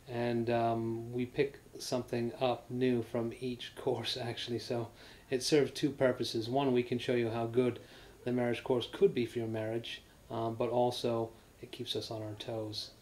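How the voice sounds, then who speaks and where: A man's voice: medium pitch, flat delivery, a little slow, a little mellow. A man in his thirties giving a rambling casual testimonial on a living room sofa, with many fillers and restarts. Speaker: married couple sharing a testimonial.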